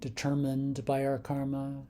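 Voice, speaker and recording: A man leading a guided meditation in a calm, unhurried manner, leaving long pauses between short phrases. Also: medium pitch, slight background noise.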